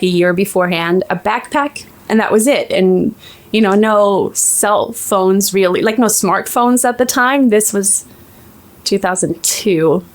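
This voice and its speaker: Chatty Swedish-accented woman in her forties. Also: mid-range voice. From Sweden. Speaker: interview guest, actress. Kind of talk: casual interview chat.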